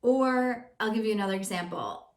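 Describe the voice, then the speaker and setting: A woman's voice: medium pitch, normal speed. Chatty woman giving advice in a roomy space. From Greek family background. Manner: chatty and candid, with frequent fillers. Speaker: life and relationship coach. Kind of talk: casual advice talk to camera. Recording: roomy echo.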